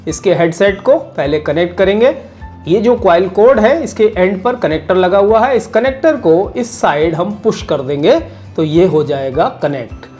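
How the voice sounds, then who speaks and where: Man explaining a product, soft music behind. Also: high-pitched, animated, normal speed. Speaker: product reviewer. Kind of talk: unboxing and review narration, step-by-step demonstration. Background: background music.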